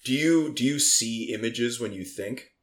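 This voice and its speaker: A man who does stand-up comedy, chatting casually with deadpan, crude jokes and bursts of mock outrage. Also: medium pitch, measured pace. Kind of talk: crude joking banter.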